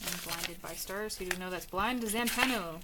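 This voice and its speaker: Softly musing woman reading notes. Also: fairly low pitch, normal speed, very noisy. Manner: musing, with many ums and uhs; reads quotes aloud, trailing off softly. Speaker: book reader and annotator. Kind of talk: casual reading notes on a novel.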